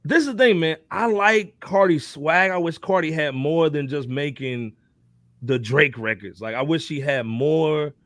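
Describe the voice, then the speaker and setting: A man co-hosting a hip hop podcast in loose, animated casual talk, often tagging on "man" and "you know what I'm saying". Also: a little high, a little slow, dry recording. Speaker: podcast co-host.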